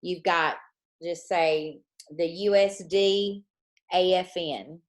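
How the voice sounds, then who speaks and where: A woman teaching a trading lesson, dictating slowly and repeating points, often checking in with "okay?". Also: lowish voice, steady tone. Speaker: forex trading coach.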